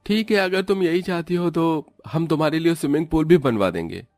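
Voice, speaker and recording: A man's voice: a little high, fairly quick, darkish tone, no echo, faint music behind, natural voice. A man playing an agreeable, indulgent husband in a cartoon family story.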